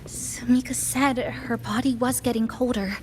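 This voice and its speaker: A cautious young female guide from a video game, played by a woman, speaking in a fairly high voice. She sounds earnest and purposeful, with faint noise behind her. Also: steady tone, unhurried, a little mellow, close to an everyday voice. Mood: concerned.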